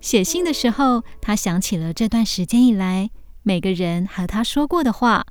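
A woman narrating a scripted children's story in an expressive storytelling manner. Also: medium pitch, normal speed, soft background music.

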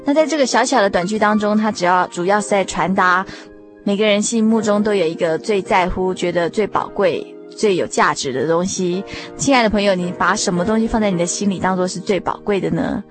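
A woman radio host speaks Mandarin with a Taiwanese accent over a bed of music. Her pitch is average for a woman, and she addresses listeners courteously in a conversational hosting style, explaining details clearly. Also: a little fast. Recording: music bed under studio voice. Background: background music.

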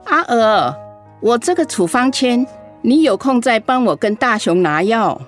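A woman's voice: on the high side, expressive, a little slow, over music. A woman playing a chatty neighbour in a radio drama, gossipy and cajoling as she asks favours.

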